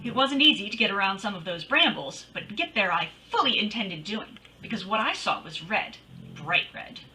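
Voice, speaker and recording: A woman's voice: medium pitch, expressive, moderate pace, bright, forceful tone, recorded from a distance, soft background music, rough recording. A woman playing a wry, wisecracking private detective in an old-time radio drama. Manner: wry, snappy.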